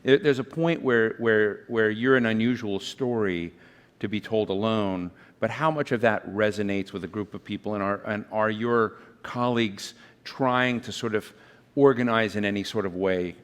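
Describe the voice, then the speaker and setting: A man's voice: on the low side, moderate pace, clean tone. A man moderating a panel discussion in a conversational way, often restarting his sentences. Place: conference hall. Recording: reverberant hall.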